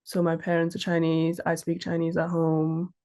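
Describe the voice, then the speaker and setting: A woman's voice: fairly low pitch, completely flat delivery, normal speed, dark tone. A young woman with an Australian accent, chatting casually as an interview guest and telling stories in an informal way. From Sydney, Australia. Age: young adult. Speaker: fashion model, interview guest.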